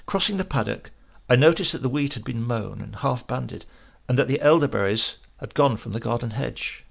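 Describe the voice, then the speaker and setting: A man narrates literary fiction. His voice is medium in pitch with a pronounced gravelly fry. He tells it in a measured, descriptive storyteller's manner. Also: lively intonation. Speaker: audiobook narrator.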